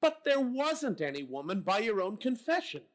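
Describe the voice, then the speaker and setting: An actor playing a gruff, suspicious police captain in a radio drama, blunt and sarcastic as he grills his men. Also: sits high, very animated, normal speed. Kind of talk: old-time radio drama reading.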